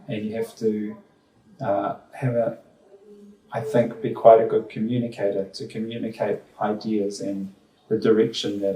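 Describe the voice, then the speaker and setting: A man's voice: on the low side, a little mellow. A middle-aged New Zealand man and school principal, answering interview questions thoughtfully with many ums and restarts. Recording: roomy sound from far off the mic.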